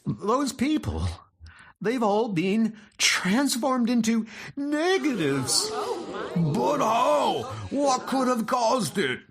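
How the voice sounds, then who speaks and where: A man voicing an excitable, awestruck nurse and bystanders in a comic book dub, with melodramatic exclamations. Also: extremely high pitch, very slow, music in the background, voice pushed up, exaggerated voice. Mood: horrified.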